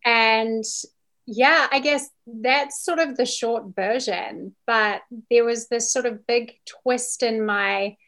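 A woman's voice: fairly high pitch, fairly bright tone. A woman with a New Zealand accent telling her story casually and candidly as a podcast guest.

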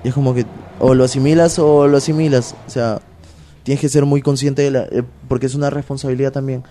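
A man's voice: mid-range voice, fairly quick, a little hiss or hum. Slangy Peruvian man in casual interview. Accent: Peruvian Spanish. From Peru. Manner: animated, slangy, many fillers. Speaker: pro wrestler guest.

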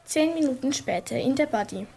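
High-voiced female narrator, faint noise. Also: expressive, brisk, gravelly, mellow. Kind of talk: scripted children's story narration.

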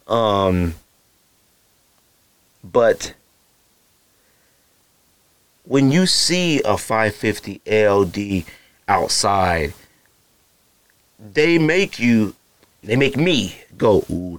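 A man in his thirties with a naturally deep voice, hosting a podcast in loose, slangy casual chat full of fillers and asides to his listeners. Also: very animated, very slow, long pauses, dry recording. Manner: feels hot and uncomfortable while recording. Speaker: podcast host. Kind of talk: casual solo podcast rambling.

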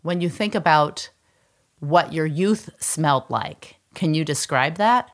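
A man's voice: a little high, animated, slow, a bit bright. A man hosting a podcast, introducing and questioning a guest. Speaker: podcast host and interviewer.

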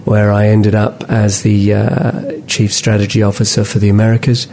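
Man from England, middle-aged, low voice, wry and relaxed, faint rumble behind. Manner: relaxed and wry, laughs easily, with frequent "uh" pauses. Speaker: podcast guest. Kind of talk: casual interview chat.

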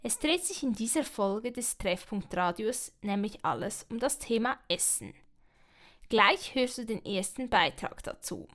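A woman with a Swiss German accent hosts a community radio piece. Her fairly high voice reads the script in a clear, even way. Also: measured pace. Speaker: radio host.

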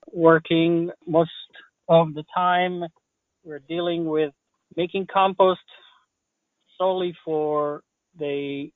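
An older man from Israel with an Israeli accent, speaking as a guest farmer in halting, pause-filled answers. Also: fairly high pitch, no echo. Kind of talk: interview answers. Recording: tinny phone line.

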